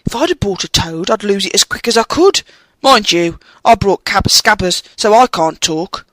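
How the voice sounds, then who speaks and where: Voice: medium pitch, expressive, normal speed, a bit bright, no echo, natural voice. A reader voicing a blunt, offhand young wizard boy in a storybook reading.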